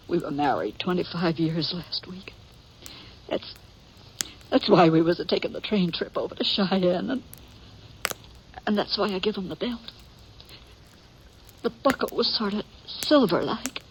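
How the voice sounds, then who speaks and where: A woman playing a grieving middle-aged American frontier widow in a 1950s radio drama, halting and distraught as she repeats herself. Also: on the low side. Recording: dull, noisy 1950s radio recording.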